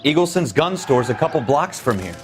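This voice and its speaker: An actor playing a brisk, streetwise American beat cop giving colloquial instructions in a 1940s crime drama video game. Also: male voice, on the high side, sing-song, background noise. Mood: coaching, wry. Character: brisk, streetwise male beat cop, crude and helpful colleague.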